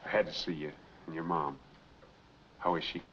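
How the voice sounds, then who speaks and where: A man's voice: rumbling low, steady tone, moderate pace, fairly bright tone. A man playing an earnest, embittered fugitive in a 1940s film noir, talking in terse, urgent phrases. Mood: urgent, guarded. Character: wrongly accused man on the run, earnest, embittered. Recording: dull, noisy 1940s film soundtrack.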